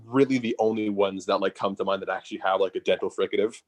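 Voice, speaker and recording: A young man talks casually as a podcast guest. His voice is a little low, and he repeats words and stutters, leaning on "like" and "uh" as he thinks aloud. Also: fairly even intonation, fast. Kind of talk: casual conversation.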